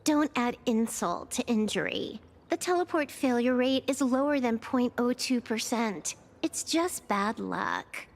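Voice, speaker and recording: A woman's voice: fairly high pitch, lively intonation, a little slow, hardly any pauses, a little hiss or hum. A woman playing a cocky, playful young hacker in a video game, nonchalant and teasing as she drawls. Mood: nonchalant, mildly annoyed. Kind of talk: scripted video game character acting.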